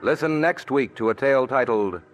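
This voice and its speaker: A man's voice: medium pitch, animated, normal speed, faint music behind. A male radio announcer reading the closing credits and schedule in formal scripted style. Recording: old radio broadcast with faint noise.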